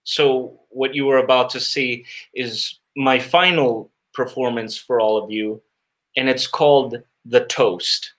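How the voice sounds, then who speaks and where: A man with a mid-range voice introduces a performance as a guest. His phrasing is formal and measured, with small hesitations between thoughts. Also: mid-range voice, steady tone, fairly bright tone, low-quality recording. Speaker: interview guest, magician and pantomime performer. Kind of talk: introducing an act to an audience.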